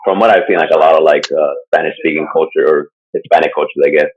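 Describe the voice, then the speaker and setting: A man with a very low, deep voice chats loosely about a topic he's presenting, leaning on "like" and "uh" and chuckling often. The recording is dull and lo-fi. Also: steady tone, fast talker, husky. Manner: rambling, full of "like" and "uh" fillers, chuckles often. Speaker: podcast co-host presenting a topic. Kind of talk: casual chat. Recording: dull lo-fi sound.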